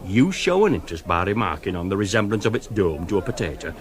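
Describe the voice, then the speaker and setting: A grumbling older working-class man with a Northern English accent. He tells his tales with indignant gusto, his pitch swinging lively up and down. It sounds like a radio drama. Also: fast.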